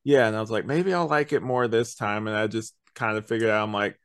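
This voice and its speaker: Male podcast co-host, deeper voice, loose conversational delivery, opinionated and wandering. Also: steady tone, a little fast, smooth. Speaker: podcast co-host reviewing an audio drama. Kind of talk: casual chat.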